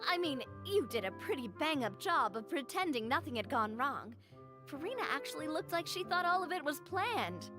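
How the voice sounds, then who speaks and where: Teasing curious young woman, high, game music behind. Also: big pitch swings, moderate pace. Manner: bright and teasing. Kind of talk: game cutscene dialogue.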